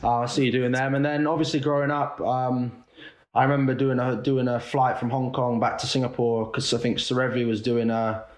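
A young man, a rugby player, chatting as a podcast guest, relaxed with frequent restarts. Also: mid-range voice, brisk. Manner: relaxed, many restarts and fillers. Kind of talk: casual podcast chat. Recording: roomy echo.

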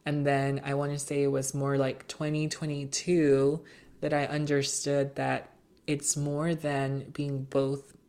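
A young woman as an interview guest, talking in an earnest, measured way with many fillers. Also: low-pitched, monotone, mellow. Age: young adult. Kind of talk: casual interview chat.

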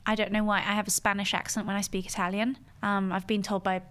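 A woman's voice: medium pitch, level tone, fast, a bit bright, smooth. A woman who grew up speaking French, chatting casually as a podcast guest and laughing easily. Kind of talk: casual conversation.

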